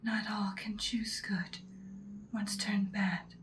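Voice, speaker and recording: Lady doing a whispery vampire mentor lady for asmr, slow n bossy, kinda ominous, bit of background noise. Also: mid-range voice, flat delivery. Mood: ominous, musing. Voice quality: soft breathy whisper. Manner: slow, deliberate, instructing, ominous. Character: ancient-seeming female vampire mentor, commanding, once ruthless, now good.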